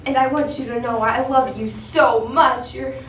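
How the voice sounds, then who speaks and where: A young woman in readers theatre playing a gentle, ailing mother who loves her little boy. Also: sits high, steady tone, normal speed, lightly acted. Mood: tender, sad. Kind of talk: high school readers theatre acting. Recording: distant, echoey stage recording, faint noise.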